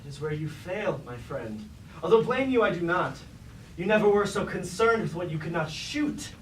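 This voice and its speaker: A man's voice: high voice, expressive, normal speed. An actor playing a scheming, grandiose robot king in a staged tragedy, speaking pompous, archaic theatrical lines. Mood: sly, disdainful. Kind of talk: staged reading of a mock Greek tragedy. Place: indoor staged reading, mic far from actor. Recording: roomy, distant, noisy live stage recording.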